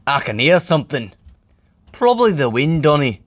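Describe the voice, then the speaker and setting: A man voicing an excitable, kindly steam engine in a children's storybook. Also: on the high side, moderate pace, no echo, voice pushed up. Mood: alert.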